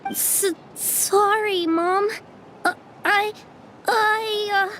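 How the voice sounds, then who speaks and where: Little girl, very high voice, timid, polite, hesitant, frail, game acting. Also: steady tone, drawn-out words, fairly bright tone, slight background noise, exaggerated voice. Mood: timid, apologetic.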